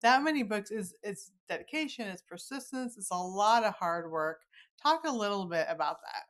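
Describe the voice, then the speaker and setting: A woman's voice: medium pitch, expressive, moderate pace. A woman hosting a casual interview podcast, chatty and enthusiastic. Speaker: podcast host, novelist.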